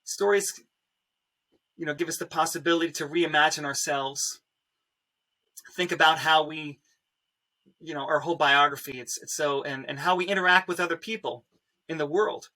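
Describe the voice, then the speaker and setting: A man's voice: fairly high pitch, fairly even intonation, normal speed, pauses often, pushed and bright, rough recording. A man on a discussion panel, thinking aloud thoughtfully and posing rhetorical questions. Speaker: storyteller panelist.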